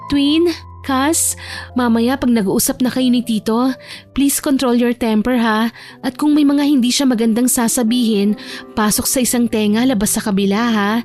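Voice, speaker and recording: A woman voicing a caring, anxious young woman who gently scolds and pleads like a big sister in an audio drama. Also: fairly high pitch, fairly even intonation, normal speed, soft background music, lightly acted. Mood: anxious pleading.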